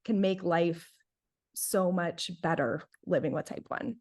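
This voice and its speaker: A woman's voice: lowish voice, unhurried, a little mellow. A woman in her late twenties from North Carolina, a diabetes health coach, chatting casually as an interview guest, laughing often and filling with "like". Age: 20s.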